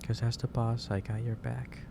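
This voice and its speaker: A man playing a gentle, caring office boss in a soft-spoken ASMR roleplay, talking in a halting, reassuring way. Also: medium pitch, flat delivery, natural voice. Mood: sheepish, caring. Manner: halting, reassuring, unhurried delivery. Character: gentle, caring male office boss lecturing an overworked employee. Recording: clearly noisy background ambience.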